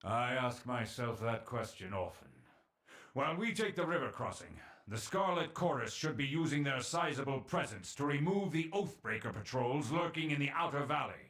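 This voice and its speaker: A man acts out a fantasy game's military commander, proud and stern. He speaks in a formal, measured manner at a middling male pitch. His bearing is imperious and disdainful of those allied to him. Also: animated, fairly bright tone, close to an everyday voice. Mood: commanding, curt. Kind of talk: fantasy video game voice acting.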